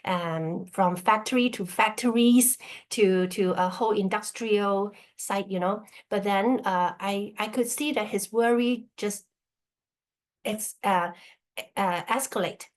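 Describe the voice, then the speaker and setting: A middle-aged woman from Hong Kong gives a relaxed talk to an online group through a video call. She keeps checking in with her listeners as she goes, with a voice pitched in the middle of the usual range. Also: slow. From born in Burma, grew up in Hong Kong. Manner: checks in with listeners by asking "okay?". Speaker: guest presenter sharing her story. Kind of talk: casual spoken presentation to an online group.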